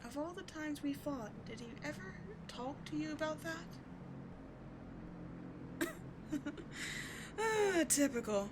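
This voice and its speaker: A woman's voice: high-pitched, lively intonation, normal speed. A woman playing a bitter, wounded villain who secretly cares, sardonic and exasperated, in a dramatic ASMR audio roleplay. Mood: bitter, resentful. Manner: sardonic, exasperated, emotionally intense. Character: bitter, wounded female villain secretly caring for rival sidekick. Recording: clearly audible background noise.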